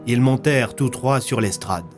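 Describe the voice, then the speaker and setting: A man's voice: medium pitch, normal speed. A man narrating a scripted audio fiction in a dramatic storytelling style. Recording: loud music bed. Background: music.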